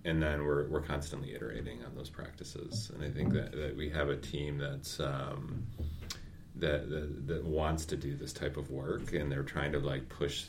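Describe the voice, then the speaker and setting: A man with an extremely deep voice talks casually about his recruiting team. He leans on fillers and drops the odd dry quip. A faint rumble hums underneath. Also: little pitch movement, normal speed, creaky. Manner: loose, filler-heavy, with dry quips. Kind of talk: casual interview chat.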